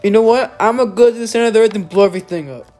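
A young adult woman chatting through an improvised Minecraft roleplay, excitable and prone to repeating herself. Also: mid-range voice, very fast, faint music behind. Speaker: gaming video player.

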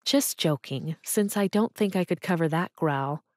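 A woman voicing an eager, slightly flustered young woman writer who answers quickly. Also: lowish voice, dry recording, clean studio sound, close to an everyday voice.

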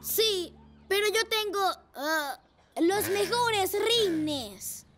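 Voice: very high voice, animated, drawn-out words, full character voice. An actor voicing a boastful, brave young boy motorcycle in a children's cartoon, eager and emphatic. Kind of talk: animated children's cartoon dubbing. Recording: loud children's music behind the voice.

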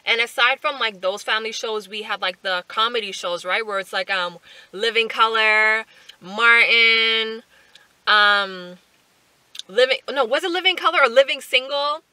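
A young woman talks straight to camera from her parked car, her voice bright and sharp with a slightly raised pitch. She chats loosely and animatedly, piling on fillers and asides. The sound is close and dry, with no room around it. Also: a little slow, dry recording. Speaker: vlogger. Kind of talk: casual chat to camera. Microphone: camera on the dashboard.

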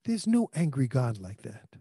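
New pastor preaching into handheld mic. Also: male voice, mid-range voice, big pitch swings, normal speed, warm tone, breathy edge, no echo, clean studio sound. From New York. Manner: earnest conversational preaching with frequent restarts. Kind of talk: sermon. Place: small indoor room. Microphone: handheld microphone.

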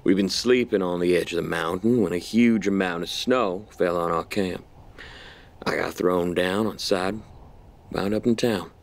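An actor playing a smug, menacing army general in an audio drama, with an insinuating, mock-polite manner. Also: male voice, low-pitched, unhurried. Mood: guarded.

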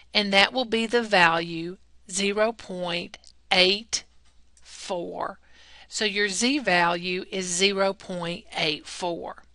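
A woman's voice: mid-range voice, pushed and bright, no room sound. A woman math lab technician explaining a statistics problem step by step in a patient, teacherly voice-over. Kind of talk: slow, deliberate classroom explanation over slides.

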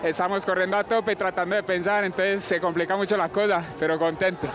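Young man, high eager voice. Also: level tone, fast talker. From Colombia. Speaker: orienteering athlete. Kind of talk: post-race interview. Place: outdoor sports field in a town. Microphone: handheld mic.

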